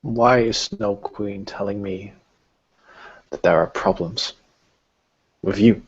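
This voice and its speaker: A man playing a stern female warrior smith, with a terse, deliberate, questioning manner. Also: lowish voice, slightly rough, muffled recording. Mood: blunt, suspicious.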